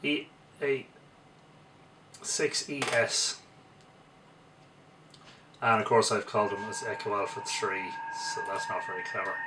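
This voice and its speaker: An Irish man in his forties giving unhurried live commentary as he operates a radio at home, muttering to himself as he works. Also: on the low side. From Ireland. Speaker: amateur radio operator demonstrating. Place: small home radio room, indoors. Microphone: camera a couple of metres away. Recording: distant camera mic, loud background hiss.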